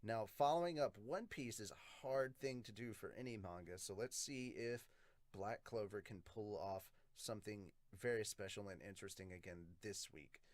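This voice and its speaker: A man's voice: on the low side, moderate pace, no echo. A man hosting a podcast, giving casual commentary as he reviews a manga.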